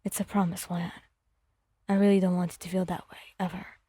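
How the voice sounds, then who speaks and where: A woman voicing a gruff young man who is a remorseful, tender boyfriend, speaking softly and earnestly in an ASMR fan fiction roleplay. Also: little pitch movement, brisk, no room sound, clean studio sound. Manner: soft, low, earnest.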